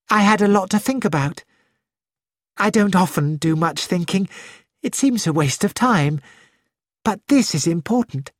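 A woman's voice: medium pitch, no room sound, studio quality. A woman reading the part of a cheerful, frank young actress who speaks breezily and bluntly. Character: flighty, self-assured young actress wife.

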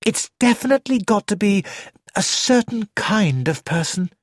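A narrator voicing an energetic, practical young woman who reasons thoughtfully, in a dry studio recording. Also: medium pitch, dry recording, voice pushed up, lightly acted. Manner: brisk, thoughtful.

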